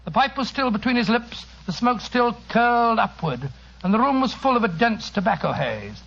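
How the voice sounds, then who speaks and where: An actor playing a courteous British gentleman, a detective's loyal companion and narrator, in an old radio drama. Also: very high-pitched, animated, normal speed, vocal fry, heavily acted. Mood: measured, evocative. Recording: muffled old radio broadcast.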